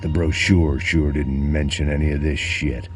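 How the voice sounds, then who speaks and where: An actor playing a world-weary middle-aged American ex-cop, bitter, sardonic and deadpan, in a hardboiled noir game. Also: male voice, extremely low pitch, normal speed. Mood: grim, sardonic. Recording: music behind the voice.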